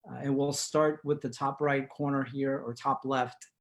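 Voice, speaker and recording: A man with an average-pitched voice hosts a community talk show from his living room over a video call. He introduces and questions guests in a formal, measured announcer's way, leaving pauses as he goes. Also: little pitch movement. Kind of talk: scripted-sounding show introduction and interviewing.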